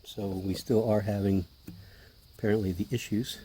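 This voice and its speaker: A man with a hoarse voice, clearing his throat as he narrates a casual hobby vlog while feeding his tarantulas indoors, chatty and offhand, sometimes dropping to a hush. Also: lowish voice, fairly even intonation, moderate pace, slight background noise. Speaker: tarantula keeper filming feedings.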